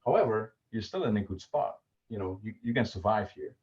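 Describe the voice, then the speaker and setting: A man's voice: on the low side, animated, a little fast, darkish tone. A middle-aged man with a Dutch accent, explaining budgeting in a casual livestream with many fillers and restarts. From Netherlands. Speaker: personal finance presenter. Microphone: video call. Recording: muffled lo-fi video call audio.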